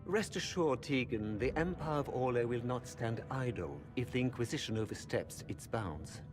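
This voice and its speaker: A man's voice: medium pitch, expressive, normal speed, over music, lightly acted. An actor voicing a condescending male ambassador who speaks with lofty assurance in a game cutscene. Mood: haughty. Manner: haughty, condescending. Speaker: diplomat.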